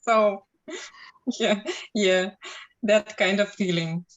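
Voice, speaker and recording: A woman chatting in English in a group, easygoing and agreeable, often laughing softly. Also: mid-range voice, fairly even intonation, takes it slowly, lo-fi sound. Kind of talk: casual group chat in English.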